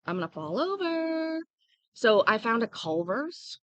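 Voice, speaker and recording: A woman's voice: a little high, sing-song, unhurried, no echo, lo-fi sound. A young woman hosting a casual livestream, chatting freely and answering viewers' comments as they come in. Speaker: livestream host.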